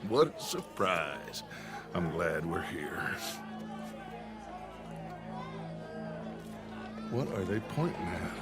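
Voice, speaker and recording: A gravelly, grandiose gang leader. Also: male voice, deep voice, very animated, music in the background, crowd noise, heavily acted. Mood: wary, conspiratorial. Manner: grandiose, deliberate, commanding. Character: theatrical, commanding middle-aged male outlaw gang leader. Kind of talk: dramatic video game acting.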